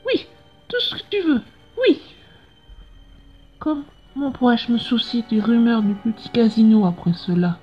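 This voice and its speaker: A woman's voice: a little high, lightly acted. A woman voicing an earnest, curious scientist in a comic dub, explaining things in a reflective way. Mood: awed. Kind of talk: dubbed comic narration and dialogue. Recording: dull lo-fi sound with music behind.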